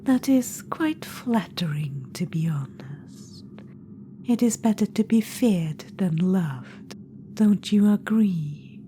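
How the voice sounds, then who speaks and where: A woman voicing a haughty ancient witch-queen trapped in an orb, speaking in a soft, regal, condescending whisper for an ASMR roleplay. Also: fairly low pitch, expressive, slow pace.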